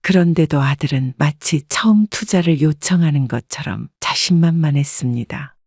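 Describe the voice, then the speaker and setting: Female audiobook narrator, even and measured, dry studio read. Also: low-pitched, dry recording. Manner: steady, even-paced storytelling. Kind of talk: scripted first-person story narration.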